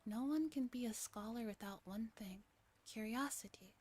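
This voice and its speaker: A woman reading a story aloud softly as a streamer, in a breathy ASMR style that slips into whispers. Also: a little high, normal speed. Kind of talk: soft-spoken ASMR narration with chat asides.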